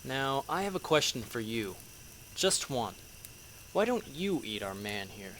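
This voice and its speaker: Male actor as a sarcastic soldier, mid-pitched, hard-edged, terse, defiant, audio drama. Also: big pitch swings, measured pace, a bit bright, a little hiss or hum. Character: sardonic, defiant male soldier, a shaman's son. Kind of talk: fan audio drama acting.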